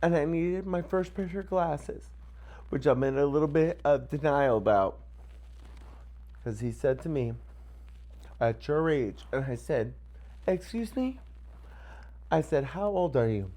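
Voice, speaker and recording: A woman's voice: sits low, lively intonation, unhurried, long pauses, dark tone. A woman in her forties chatting casually as a podcast co-host, blunt and cheeky. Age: 40s. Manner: blunt, cheeky, swears freely. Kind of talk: casual chat.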